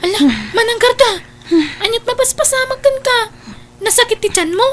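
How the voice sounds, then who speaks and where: A woman with an Ilocano accent playing a devout, gently scolding younger sister in a radio comedy drama. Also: extremely high pitch, normal speed. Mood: concerned.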